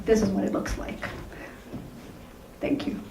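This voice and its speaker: A woman pitching her company to judges in an upbeat way, with laughing asides. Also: measured pace, noisy background. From Tunisia, raised in Sweden. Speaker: contestant presenting her company. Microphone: distant from the recording mic. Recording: echoey hall recorded from far off.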